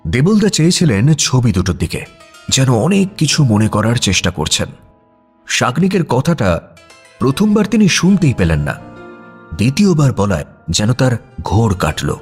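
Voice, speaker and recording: A man's voice: medium pitch, wildly swooping pitch, normal speed, long pauses, husky. A man narrating a scripted suspense story in a descriptive, dramatic way, with music and crickets behind him. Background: music bed with cricket sounds.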